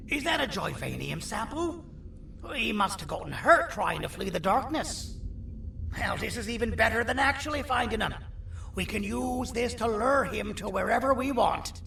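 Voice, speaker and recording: An actor playing a vain, sardonic four-armed creature surgeon in a video game, with a gravelly, theatrical, bossy delivery. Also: male voice, high-pitched, bright, forceful tone, exaggerated voice. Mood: scheming, pleased. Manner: wry, theatrical, self-important. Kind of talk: video game character dialogue. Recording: roomy echo, distant, faint noise.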